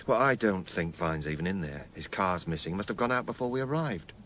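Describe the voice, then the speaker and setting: An actor playing a sceptical police detective in a radio drama, dry, understated and wryly deferential. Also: male voice, deep voice, animated, brisk, fairly bright tone, a little hiss or hum. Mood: wry, patient.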